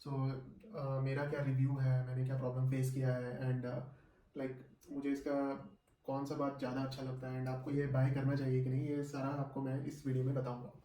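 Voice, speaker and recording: A man's voice: medium pitch, completely flat delivery, brisk, mellow, a little hiss or hum. A man giving a casual, conversational product review, mixing in English phrases and often checking in with short tag questions. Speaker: vlogger reviewing gear. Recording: echoey room, distant microphone.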